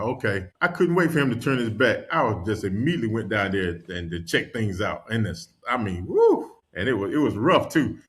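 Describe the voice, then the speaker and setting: A man from North Carolina, a podcast guest and world traveler, telling stories in casual conversation with lively energy and frequent laughter. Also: fairly low pitch. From North Carolina, USA. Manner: animated storyteller, laughs often.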